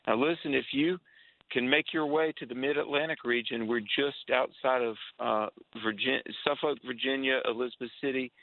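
A man's voice: mid-range voice, steady tone, normal speed, creaky, dry recording. A man calling in as a guest on a radio show, eagerly promoting a youth camp. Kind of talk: phone-in radio chat. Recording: phone line.

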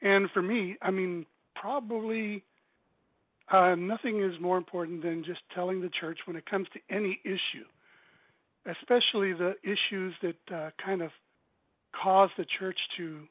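High-voiced man on a tinny phone line. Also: steady tone, measured pace, no room sound. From South Texas. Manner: earnest, rambling, many fillers. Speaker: ministry director, guest. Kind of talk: phone-in radio interview.

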